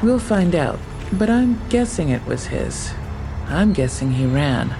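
An actress playing a matter-of-fact police officer briefing a colleague in an audio drama. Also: female voice, fairly low pitch, big pitch swings, measured pace, vocal fry, noisy background, exaggerated voice. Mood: intent, factual.

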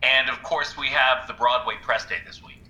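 An actor playing a boastful publicist rattling off press bookings in a comedy. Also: male voice, mid-range voice, steady tone, bright, forceful tone, lo-fi sound. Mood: hedging. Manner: salesy, rapid name-dropping. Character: hustling, boastful male show publicist.

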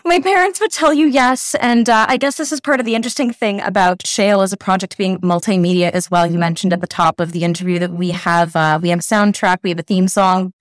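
A woman's voice: mid-range voice, fairly even intonation, fast talker, few pauses, dry recording, studio quality. A woman author talking enthusiastically in an interview, with many fillers. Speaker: author guest. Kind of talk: casual interview chat.

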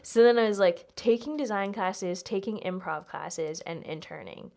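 A woman's voice: medium pitch, normal speed, gravelly, a little mellow. A young American woman telling personal stories in a casual chat, informally, peppering her sentences with "like" and swearing freely. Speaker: podcast guest, comedian.

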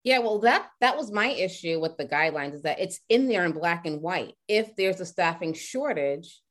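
A woman's voice: on the low side, lively intonation, normal speed. A woman hosting a conversational interview, affirming and asking probing questions. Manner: affirming, lots of mm-hmms, asks probing questions. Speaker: podcast host.

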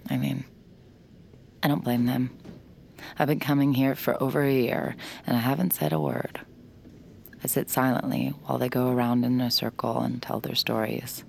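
A woman's voice: extremely low pitch, level tone, moderate pace, creaky, a little mellow, slight background noise, exaggerated voice. An actress playing Sid, a wry and anxious young woman who mutters dry, self-deprecating asides in an audio drama. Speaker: lead character and narrator.

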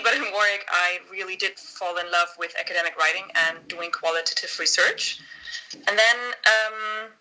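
A woman's voice: medium pitch, sharp tone, low-quality recording. A woman in her thirties from northern Germany with a German accent, a guest telling her story in a friendly podcast interview and laughing often. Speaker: guest academic.

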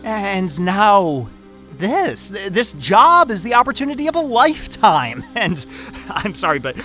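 A jittery, bright-voiced, cocky young job candidate. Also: male voice, sits high, sing-song, measured pace, faint music behind, heavily acted. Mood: excited, smug. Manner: stammering, rambling, self-interrupting. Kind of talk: naturalistic audio drama acting.

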